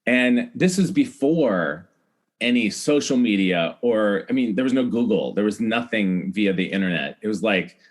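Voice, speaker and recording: A man's voice: fairly low pitch, animated, normal speed. A middle-aged man, telling stories in a chatty, casual way as a podcast guest and acting out remembered dialogue. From United States, Iowa. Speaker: podcast guest, celebrity hair stylist. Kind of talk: animated casual storytelling.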